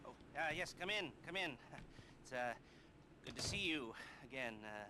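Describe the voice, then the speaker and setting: An actor playing an awkward, neurotic middle-aged criminal heist planner in a video game, with an American accent and a halting, nervous, wry delivery. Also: male voice, medium pitch, animated, background noise, lightly acted. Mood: awkward, confessional. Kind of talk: video game cutscene acting.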